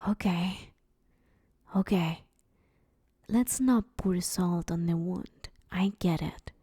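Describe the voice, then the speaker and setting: Mellow, awkward villain woman played by an actress. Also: lowish voice, no room sound, studio quality. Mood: pleading. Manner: awkward, wheedling, hesitant conversational delivery. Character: broke, sheepish but scheming villain woman begging her neighbor. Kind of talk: roleplay audio drama, one-sided conversational comedy.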